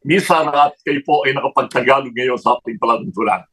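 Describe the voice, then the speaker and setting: A man's voice: medium pitch, fast, a bit bright, husky. A man with a Filipino accent hosting a radio interview, mixing Tagalog and English with many fillers and restarts. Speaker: radio host. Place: radio studio.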